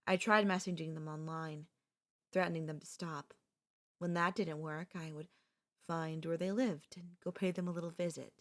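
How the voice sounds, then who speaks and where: A low-pitched, soft voice belongs to an obsessive young childhood friend, as a woman plays her in an ASMR roleplay. She talks with a sweet, calm menace and often sinks to a whisper. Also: lightly acted. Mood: calmly chilling.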